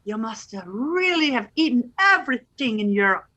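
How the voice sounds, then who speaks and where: A bright, high, sing-song older Irish landlady, played by a woman. She chatters coyly and theatrically, swooping up and down as she gossips in old-time radio comedy fashion. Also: big pitch swings, moderate pace, dull audio, full character voice. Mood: chatty. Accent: put-on Irish.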